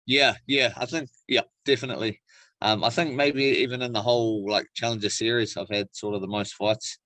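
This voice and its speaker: Man, New Zealand accent, casual interview chat, slangy and laid-back, mid-pitched, dry recording. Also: steady tone, a little fast, a bit bright, no room sound. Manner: laid-back slangy phrasing, frequent "like" and "bro". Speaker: professional MMA fighter being interviewed.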